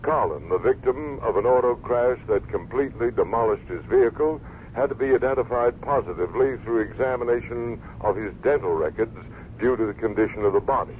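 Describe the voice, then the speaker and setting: An actor playing a local radio newsreader in a radio drama, formal and measured, with an American accent. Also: male voice, mid-range voice, close to an everyday voice. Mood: sober, official. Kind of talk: radio news bulletin within a drama. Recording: noisy, narrow-band old radio broadcast.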